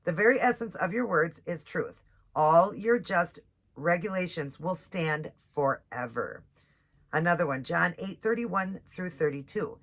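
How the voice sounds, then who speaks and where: A woman with a stuffed-up voice from a cold, chatting casually and reading devotional passages slowly. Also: fairly low pitch, animated. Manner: chatty, reads scripture slowly, apologizes often. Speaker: nail and devotional vlogger. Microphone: ring light setup, camera close.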